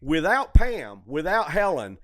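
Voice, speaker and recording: A man's voice: a little high, big pitch swings, measured pace, a loud crowd. An older man in a casual podcast conversation, hosting and telling stories in a rambling, repetitive way. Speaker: comedy podcast host and club owner.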